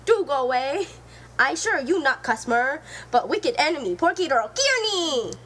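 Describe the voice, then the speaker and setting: Distressed piglet, voiced by a woman reader, pleading, loud. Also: high voice, very animated, normal speed, a little hiss or hum, pitched above the performer's own voice. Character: distressed young male pig, Sing Shu.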